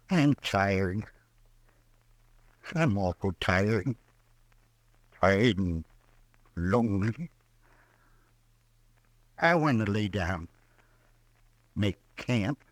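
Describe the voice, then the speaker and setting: An actor playing a bitter, weary old frontier man in a radio western, rambling and halting in folksy speech. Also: lowish voice, dry recording, exaggerated voice. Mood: tired, lonely. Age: older.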